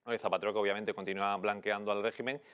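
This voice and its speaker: A man with a low, smooth and resonant voice steers a studio panel discussion. He talks in a measured, conversational way and links his comments to what the guests said. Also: little pitch movement, a bit bright.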